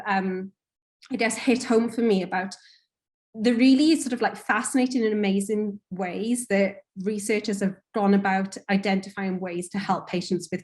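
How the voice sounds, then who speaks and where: A woman's voice: medium pitch, a little mellow. A young woman from Wales talks through a career panel on a video call. Her delivery is loose and chatty, dotted with ums and "sort of". She sounds like a researcher sharing her story informally. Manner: chatty, many fillers and hedging "sort of". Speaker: panel speaker, cancer researcher.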